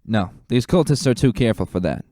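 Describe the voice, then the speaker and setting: A man voicing a gruff veteran pony lieutenant, commanding and emphatic, in a dramatic story reading. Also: mid-range voice, animated, a little fast, dark tone, no room sound, clean studio sound, pitched above the performer's own voice, natural voice. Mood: stern, warning.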